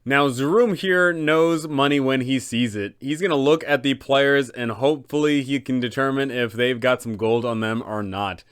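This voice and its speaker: A man's voice: medium pitch, moderate pace, few pauses, fairly bright tone, clean tone, no echo, studio quality. A man hosting a tabletop game guide in an enthusiastic explainer style.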